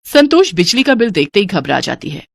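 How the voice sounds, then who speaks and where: A woman's voice: mid-range voice, very animated, fairly quick, vocal fry, breathy edge, no echo. A woman narrates a scripted moral tale. Her voice sits in a medium register, recorded close with very little room sound.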